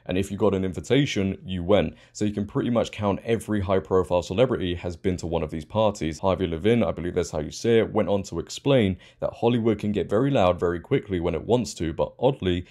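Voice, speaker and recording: A man's voice: low-pitched, warm tone. A man hosting online news commentary, speaking in an opinionated, emphatic way and repeating key words.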